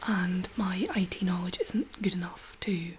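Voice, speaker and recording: A woman in her thirties hosting a soft-spoken ASMR chat in a gentle, unhurried, whispery and slightly husky voice. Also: fairly low pitch, steady tone, background noise. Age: 30s. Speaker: ASMR video host.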